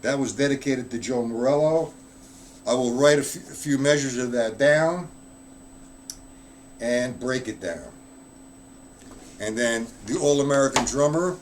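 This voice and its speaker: A man's voice: mid-range voice, unhurried, pauses often, a little hiss or hum. A man giving a casual live-streamed drum lesson, explaining rudiments in a loose, chatty way and answering viewers in the chat. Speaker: drum teacher.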